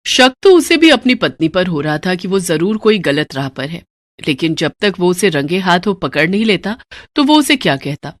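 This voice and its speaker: A woman's voice: on the low side, sing-song, moderate pace, dry recording, clean studio sound. A woman narrating a scripted cartoon story in a dramatic storytelling style.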